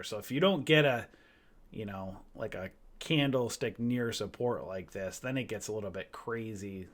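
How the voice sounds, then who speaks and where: Tired man talking through stock charts. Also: lowish voice. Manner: loose, rambling, many fillers and restarts, addresses viewers as guys. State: tired and losing his voice, clearing his throat and coughing. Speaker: stock trading educator. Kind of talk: casual live chart walkthrough.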